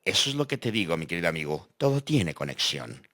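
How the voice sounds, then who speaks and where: A man's voice: sits low, sing-song, fast, fairly bright tone. A man playing a philosophical character who muses in aphorisms in a scripted educational audio fiction. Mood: reflective.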